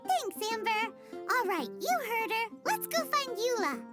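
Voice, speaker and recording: A woman voicing a tiny, childlike fairy companion in a video game, squeaky, cute and blunt. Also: sing-song, a little slow, exaggerated voice. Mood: perky. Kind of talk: English video game voice acting. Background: prominent game music.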